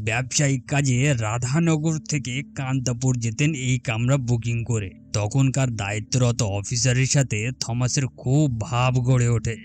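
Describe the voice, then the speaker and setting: A man playing a mysterious, calm gentleman ghost who tells his tale formally in a grave, resonant voice in a horror story. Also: medium pitch, natural voice. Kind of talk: Bengali horror audio story acting.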